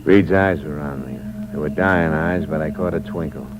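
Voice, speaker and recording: A man's voice: rumbling low, normal speed, over loud music. An actor playing a hard-boiled drifter who tells his own story in terse, wry narration. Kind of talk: 1950s radio drama acting. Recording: noisy old radio recording.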